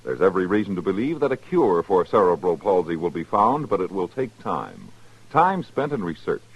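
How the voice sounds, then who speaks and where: A man delivers a scripted public service appeal in old-time radio style. His low voice is husky and moves emphatically up and down. The recording is dull and lo-fi. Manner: earnest, emphatic. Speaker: charity appeal announcer.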